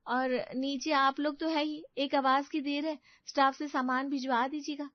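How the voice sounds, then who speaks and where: Storybook young housewife played by a female narrator: fairly high, flustered, expressive homely chatter, slightly muffled. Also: moderate pace, no echo, natural voice. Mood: earnest, explaining. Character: young Indian daughter-in-law, flustered, chatty homemaker.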